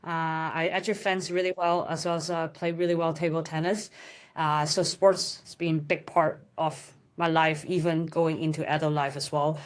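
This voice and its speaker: A woman's voice: deep voice, flat delivery, moderate pace. A young woman from Hong Kong, a guest in a casual interview, talking thoughtfully with frequent fillers and restarts.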